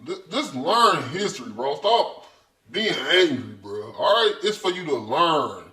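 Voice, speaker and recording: A man's voice: fairly high pitch, big pitch swings, measured pace, pushed and bright, far from the mic. A man from the United States hosting a video, reacting to a lecture in heated, rambling, slangy talk. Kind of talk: casual commentary. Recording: roomy, away from the mic.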